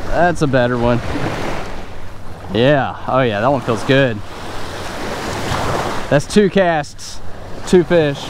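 A man's voice: medium pitch, animated, slow, long pauses. A young adult man casually narrating his own beach fishing, talking to himself between casts, recorded outdoors on a wearable camera. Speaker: fishing vlogger. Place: open beach at the surf line, outdoors. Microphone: wearable action camera. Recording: outdoor wind and surf noise. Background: surf and waves.

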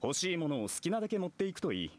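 A man voicing a courteous, mysterious gentleman in an anime game, wry and measured, with polite, old-fashioned phrasing. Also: fairly high pitch, sing-song, a little hiss or hum. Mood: gracious, resigned.

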